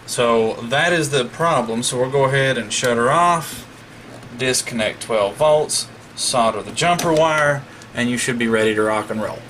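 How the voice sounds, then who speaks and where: A man's voice: medium pitch, normal speed, a bit bright, a little hiss or hum, low-quality recording. A man talking casually through a hands-on electronics repair, explaining step by step in a folksy way. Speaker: hobbyist radio repairer making a demonstration video.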